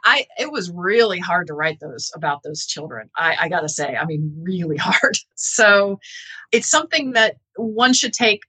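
A woman's voice: fairly low pitch, lively intonation, moderate pace, bright, forceful tone, slightly rough, low-quality recording. A middle-aged woman, a researcher interviewed as a guest, speaking in an earnest, reflective and emphatic way. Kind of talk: thoughtful interview talk.

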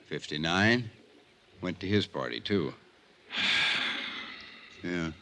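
A man acting a laconic, sly small-town sheriff in folksy 1950s radio drama style, with a gravelly voice. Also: deep voice, animated, fairly bright tone, heavily acted. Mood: wry, knowing. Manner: folksy, drawn-out laconic replies. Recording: faintly noisy 1950s radio recording.

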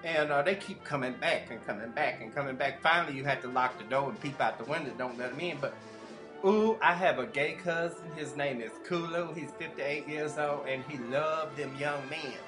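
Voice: medium pitch, normal speed, over music, lightly acted. A performer voicing a folksy, gossipy middle-aged busybody who rambles in a drawl with loose grammar. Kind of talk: comedy character monologue.

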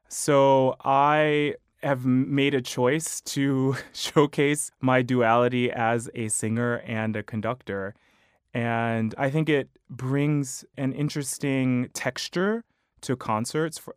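A man with a clear, resonant speaking voice, recorded close and dry with no room sound. He is a choral conductor and baritone singer who answers interview questions in a thoughtful, articulate way. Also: no room sound. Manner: thoughtful, measured, articulate with small restarts.

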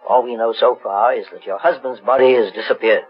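An actor playing a courteous, composed master investigator in a 1940s radio drama, speaking deliberately and politely. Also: male voice, mid-range voice, fairly even intonation, slightly rough, natural voice. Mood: curious. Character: kindly, measured, courteous male master investigator. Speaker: actor, lead in a detective radio serial. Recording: muffled 1940s radio recording.

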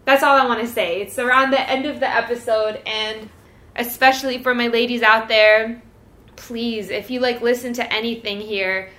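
A young woman speaks as a podcast host with a fairly high voice. Her talk is casual, slangy and effusive, like a chat between peers. The room adds a noticeable echo around her words. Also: fairly even intonation, normal speed, bright, forceful tone. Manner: effusive, informal slangy speech. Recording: roomy, echoey sound.

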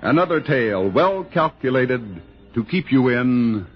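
A man's voice: mid-range voice, animated, a little slow, faint music behind. An American man reading a script as an old-time radio announcer. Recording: muffled vintage radio broadcast.

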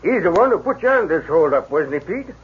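Raspy folksy old west sheriff, drawly, on a tinny old radio play. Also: male voice, sits high, sing-song, fairly quick, full character voice. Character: folksy frontier sheriff, middle-aged, easygoing. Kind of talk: 1950s radio drama acting.

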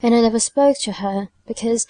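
Audiobook role: dying young woman, played by a female reader, high soft voice, plaintive, halting, fearful. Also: no echo, natural voice. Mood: subdued, confiding. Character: frightened, dying young woman pleading to live. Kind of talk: audiobook character dialogue.

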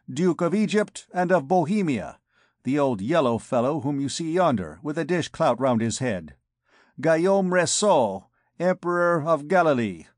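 A man's voice: a little high, dry recording, studio quality, voice pushed up. A man voicing a grandiose, menacing male beggar king who proclaims his titles with scorn.

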